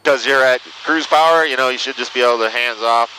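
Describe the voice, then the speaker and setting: A man piloting a small plane, chatting casually and giving calm, plain instructions over a headset. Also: medium pitch, fairly quick. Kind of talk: casual cockpit chat. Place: small plane cockpit in flight. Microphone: aviation headset. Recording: loud aircraft cabin noise, intercom audio.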